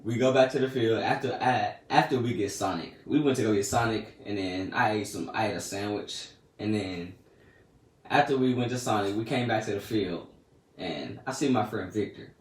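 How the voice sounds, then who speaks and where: A teenage boy casually telling a story about his day in slangy, laid-back talk that often trails off soft and quiet. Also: on the low side, normal speed, distant mic. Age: teens. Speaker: vlogger. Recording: echoey room, a bit off-mic, faint noise.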